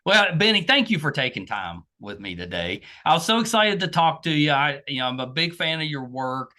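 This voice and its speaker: Older American male podcast host, lively pitch movement, bright delivery, chummy and encouraging interviewer. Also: a little fast. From American South. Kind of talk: casual chatty interview.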